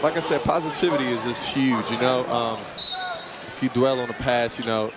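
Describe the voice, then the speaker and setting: A young male college basketball player speaks earnestly in a mid-range voice during a courtside interview. The arena is loud behind him, full of game noise. He leans on "you know" as he talks. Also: medium pitch, fairly even intonation, normal speed. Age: young adult. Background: crowd and game noise.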